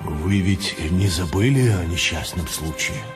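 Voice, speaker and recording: An insistent police sergeant in a radio drama. His low voice sits back from the microphone, with clear music behind it. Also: animated, a little slow. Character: insistent male police sergeant questioning a patient. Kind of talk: radio play acting. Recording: distant from the microphone.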